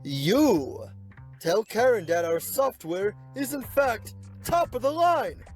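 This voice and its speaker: A man voicing a stern, officious office supervisor who scolds bossily in an animated comic dub. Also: sits high, slow, soft background music, heavily acted. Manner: scolding, bossy.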